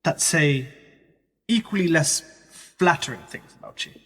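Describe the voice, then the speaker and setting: An actor playing a polite, cautious magazine journalist in a radio play, speaking in tactful, reserved, measured replies. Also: male voice, on the high side, very animated, a bit bright, slightly rough, heavily acted. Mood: tactful, probing. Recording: reverberant room, faint background noise.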